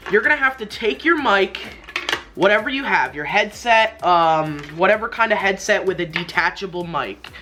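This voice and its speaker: A man's voice: high voice, sharp tone. A young man hosting a casual step-by-step tutorial in an informal, rambling, slangy way, recorded indoors in a small room. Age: young adult. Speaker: host of a tech tutorial.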